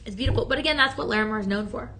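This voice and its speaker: A woman hosting a shopping channel, chatty and encouraging, with a slightly raspy voice that is still healing from talking so much. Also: mid-range voice, rapid-fire, pushed and bright, a little hiss or hum. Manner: chatty, upbeat and encouraging, many fillers. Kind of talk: live TV sales pitch. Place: TV studio.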